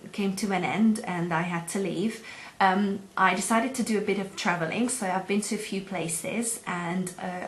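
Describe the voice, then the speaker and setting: Hesitant woman, echoey room. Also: on the low side. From Hungary. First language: Hungarian. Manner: hesitant, many ums and uhs. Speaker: job seeker. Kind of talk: self-introduction video to employers. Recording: echoey room, faint background hum.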